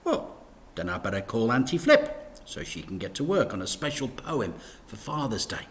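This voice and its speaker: A man's voice: mid-range voice, sing-song, moderate pace, a bit bright. A man voicing a cheeky, earnest young boy in a children's storybook, insistent and pleading.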